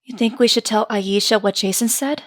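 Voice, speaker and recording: A woman playing a calm, supportive young confidante of a hero in an audio drama. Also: a little high, normal speed, no room sound, studio quality. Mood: concerned.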